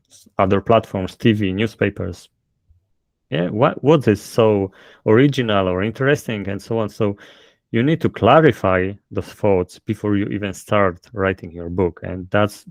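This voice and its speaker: A man's voice: on the low side, creaky, dry recording. A man with a thick Polish accent, a self-published author explaining his methods in an interview, hesitant with many fillers. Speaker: guest author. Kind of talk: casual interview.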